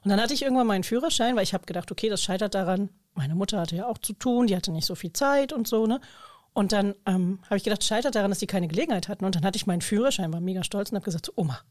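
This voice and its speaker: A woman's voice: medium pitch, racing, a little mellow, no room sound, clean studio sound. A woman chatting casually in a talkative, informal, colloquial way. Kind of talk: casual conversational chat.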